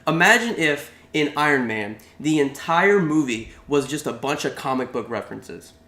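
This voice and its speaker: A man's voice: a little high, expressive, normal speed, bright, forceful tone. A man co-hosting a casual chat about video game movies, rambling with many restarts. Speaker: podcast co-host. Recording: echoey room.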